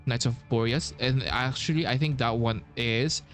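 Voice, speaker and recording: A man's voice: mid-range voice, normal speed, a bit bright, faint music behind. A man giving casual playthrough commentary as a game streamer, hesitant and thinking aloud.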